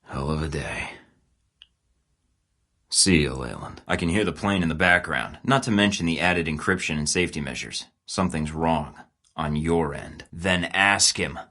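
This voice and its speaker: A man's voice: rumbling low, moderate pace, pushed and bright, heavily acted. An actor playing a tough, sarcastic male field agent in a video game, speaking in dry, clipped lines. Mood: defiant. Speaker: video game protagonist, a spy.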